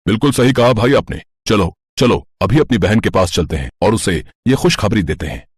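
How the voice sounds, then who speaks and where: Young prince brothers for a cartoon voiced by a man: earnest, determined, deep husky tone. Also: expressive, moderate pace, no room sound. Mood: eager.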